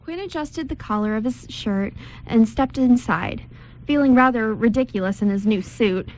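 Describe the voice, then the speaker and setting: A woman narrating a scripted amateur radio play with careful, deliberate reading. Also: fairly high pitch, darkish tone, low-quality recording.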